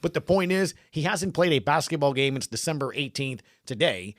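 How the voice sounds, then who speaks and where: A man's voice: on the high side, animated, brisk, no echo, clean studio sound. A man hosting a podcast with casual, punchy sports talk full of rhetorical questions. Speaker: podcast host.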